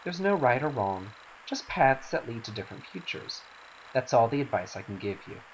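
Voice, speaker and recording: A man's voice: mid-range voice, sing-song, moderate pace, low-quality recording. A man reading scripted game dialogue in a dramatic style. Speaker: amateur voice actor reading game cutscenes. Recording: faint hiss.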